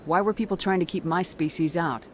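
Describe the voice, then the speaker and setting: A woman's voice: lowish voice, fairly quick, a little hiss or hum, close to an everyday voice. A woman playing a blunt, curious human space soldier in a video game, with a direct, questioning manner.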